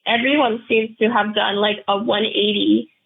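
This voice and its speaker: A woman calling in to a show with a personal update, her voice hoarse and froggy, talking haltingly and earnestly with many restarts. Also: mid-range voice, level tone. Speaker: caller. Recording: tinny phone line.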